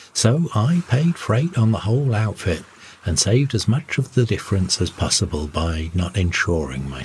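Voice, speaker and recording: A man reading a scripted first-person bedtime story in a measured, expressive storytelling manner. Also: deep voice, slight background noise. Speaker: storyteller, audiobook narrator.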